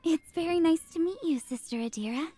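A woman's voice: extremely high pitch, fairly quick, dark tone, a little hiss or hum. A woman playing a timid, polite young girl in a game, with a hesitant, meek manner. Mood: nervous, polite. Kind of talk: visual novel voice acting.

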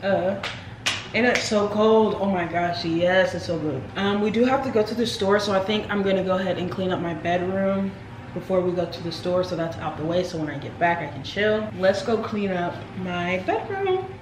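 A young woman chats straight to her viewers in a casual, playful way. Her voice bounces off the walls of a kitchen. Household noise runs clearly underneath. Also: on the low side, moderate pace, roomy sound. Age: young adult. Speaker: vlogger. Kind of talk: casual vlog chat to camera. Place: home kitchen, indoors. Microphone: camera on the counter.

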